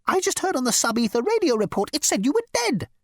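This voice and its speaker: A prissy, petulant insect receptionist, squeaking out icy replies in a voice pitched way up high. It talks with a haughty, flouncing edge and swoops all over the place, recorded close and dry. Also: female voice, big pitch swings, fast, no room sound. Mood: flustered.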